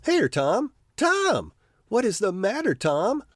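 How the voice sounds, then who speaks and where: Anxious boy, pleading, wildly swinging pitch, slow, dry sound; male narrator voicing him. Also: wildly swooping pitch, no echo, exaggerated voice. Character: worried younger brother, boy.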